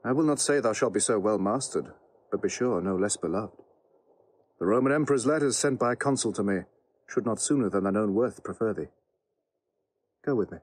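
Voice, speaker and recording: A noble Roman general in classical audio drama. His voice is lowish and rough-edged, measured and authoritative, with a fatherly kindness. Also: expressive, poor audio. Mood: gentle. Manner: measured, authoritative verse delivery.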